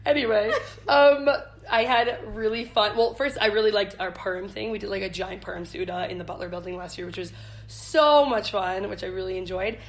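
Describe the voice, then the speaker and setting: A woman's voice: mid-range voice, brisk. An American woman in her twenties chatting casually as an interview guest, with an informal, giggly manner. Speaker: interview guest, camp staff leader. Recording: noticeable background noise.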